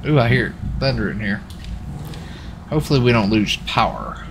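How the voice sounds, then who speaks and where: A man's voice: on the low side, very animated, moderate pace. A man chatting casually as a game streamer, rambling and repeating himself in a folksy way. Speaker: live streamer playing a role-play game. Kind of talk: casual chat with viewers and players. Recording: clear background noise, vehicle sounds.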